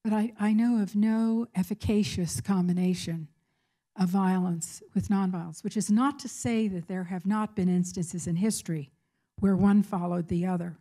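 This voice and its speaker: An older woman speaking as a professor at a public talk, answering a question in deliberate, measured, emphatic phrases. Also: medium pitch, steady tone, mellow, rough recording. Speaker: academic speaker, professor of peace studies.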